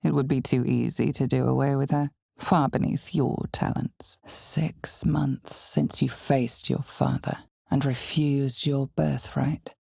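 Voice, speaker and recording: A dark fantasy game narrator speaks low and very slowly, ominous and knowing with a wry edge. Her voice is husky and gravelly, often close to a whisper, and every phrase is placed deliberately. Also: fairly even intonation, no room sound, exaggerated voice. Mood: ominous, measured. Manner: slow, deliberate, dramatic storytelling.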